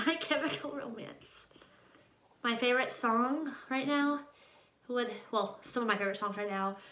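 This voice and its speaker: A woman's voice: on the high side, sharp tone. A nineteen-year-old American woman chatting casually to camera in a rambling way, often interrupting herself. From Ohio, United States. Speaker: channel host introducing herself. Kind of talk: casual vlog chat to camera. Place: small room at home, indoors. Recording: echoey untreated room.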